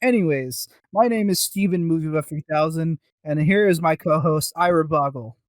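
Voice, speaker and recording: Male podcast co-host, slightly high voice, rambling casual chat, self-deprecating, very dry close recording. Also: moderate pace, no echo.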